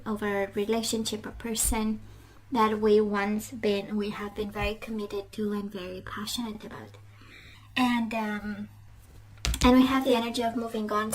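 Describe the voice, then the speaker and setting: A woman giving an informal tarot reading in a halting, reflective way, often letting phrases trail off. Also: a little high, a little hiss or hum, poor audio. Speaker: tarot reader and host.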